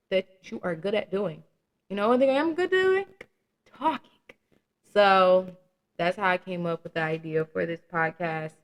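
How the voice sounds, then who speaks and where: A woman's voice: mid-range voice, a bit bright. A young woman around twenty hosting her own podcast, talking candidly and casually with slang, swearing and laughing at her own jokes. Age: young adult. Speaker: podcast host. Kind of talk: casual solo podcast chat.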